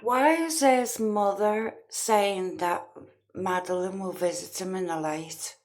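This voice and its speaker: A woman's voice: medium pitch, slow. A woman giving casual true crime reaction commentary in a blunt, scornful way.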